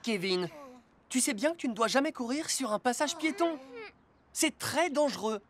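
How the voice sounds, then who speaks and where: A woman voicing a calm, responsible rescue patrol car in a children's cartoon, teaching in a gently firm way. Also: high voice, moderate pace, crowd noise. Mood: stern concern. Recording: loud music behind the voice.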